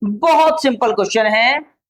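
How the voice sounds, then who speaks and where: Slow-dictating Indian woman teacher. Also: a little high. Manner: slow, drawn-out dictation of model answers, repeating each phrase. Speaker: English teacher. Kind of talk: spoken English lesson for Hindi-speaking students.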